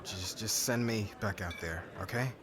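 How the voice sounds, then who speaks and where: A man playing a brash, lovelorn young warrior groom in an ASMR audio drama, halting and informal, sounding exasperated. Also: fairly low pitch, crowd noise, close to an everyday voice. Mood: dejected, pleading.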